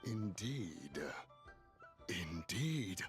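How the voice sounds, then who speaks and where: Slow, dignified, deep-toned immortal man. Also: very animated, echoey room, long reverb tail, music in the background, exaggerated voice. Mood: composed, agreeing. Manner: unhurried, formal. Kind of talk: video game dialogue.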